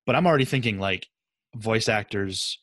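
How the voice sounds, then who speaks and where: A man's voice: on the low side, lively intonation, moderate pace, fairly bright tone, no echo, clean studio sound. A man interviewing casually as a podcast host, with an enthusiastic, effusive manner.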